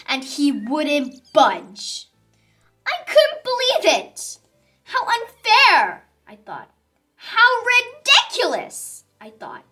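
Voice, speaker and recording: A woman's voice: sits high, sharp tone, heavily acted. A woman voicing a dramatic little sour grape who tells its own story in a children's picture book read-aloud, with theatrical emphasis. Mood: indignant. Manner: theatrical, exclaiming, drawn-out emphasis on words.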